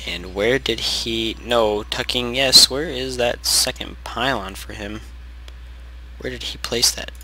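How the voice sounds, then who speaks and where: Hoarse young man casting a game. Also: medium pitch, slow, bright, forceful tone, poor audio. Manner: rambling play-by-play, self-correcting, frequent throat clearing. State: losing his voice from sickness. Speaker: game caster. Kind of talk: casual game commentary.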